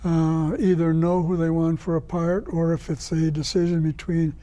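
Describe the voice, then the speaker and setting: Mellow, higher-pitched man explaining in an interview. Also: level tone, normal speed. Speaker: guest, writer and director of radio plays.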